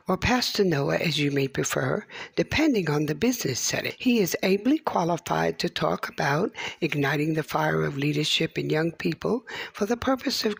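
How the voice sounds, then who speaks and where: A woman's voice: deep voice, creaky, husky, no room sound. A woman reading a scripted promo introducing a host. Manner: announcing, read-out delivery.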